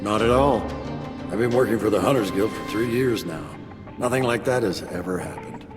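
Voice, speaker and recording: An actor playing an easygoing, reassuring senior worker in a fantasy game, giving casual instructions. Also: male voice, on the low side, moderate pace, big-room sound, over music, natural voice. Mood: assured, explaining. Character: easygoing, reassuring male mining team senior at work. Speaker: voice actor playing a supporting character. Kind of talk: English game cutscene acting.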